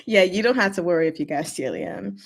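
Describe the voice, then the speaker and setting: Casual woman livestreamer with a lowish voice. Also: lively intonation, fast, gravelly, breathy edge. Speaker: livestream host answering viewer questions. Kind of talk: casual livestream chat, reading comments aloud.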